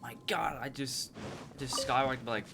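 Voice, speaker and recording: A man's voice: mid-range voice, normal speed, vocal fry. A young man giving casual, slangy live commentary while streaming a video game. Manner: slangy reactions. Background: loud game music.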